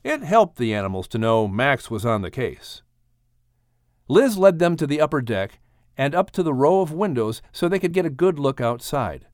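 A man narrating an adventure story for children with expressive, theatrical storytelling delivery. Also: on the high side, normal speed, no room sound, studio quality. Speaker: audiobook narrator. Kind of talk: dramatic scripted storytelling.

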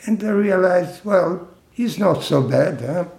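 Older man, slightly high-pitched, unhurried reminiscing interviewee, roomy echo. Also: animated, creaky, a little mellow. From Italy. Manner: slow, halting storytelling with fillers and laughs. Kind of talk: oral history interview.

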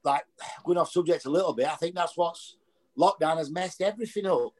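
A man, chatting as a podcast guest in a rambling, animated way. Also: fairly high pitch, a little fast. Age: 30s. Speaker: guest, boxing coach. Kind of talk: casual podcast chat.

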